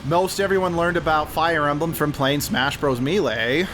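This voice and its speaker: A man's voice: a little high, moderate pace, over music, poor audio. A man giving rambling, opinionated commentary in a casual gaming chat. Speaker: let's play commentator.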